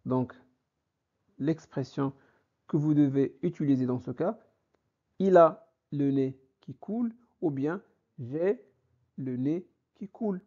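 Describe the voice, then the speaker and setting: Male teacher, unhurried and methodical, repeats phrases for learners, slightly muffled recording. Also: on the high side, dark tone. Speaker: French teacher. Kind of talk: slow vocabulary lesson. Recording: dull lo-fi recording.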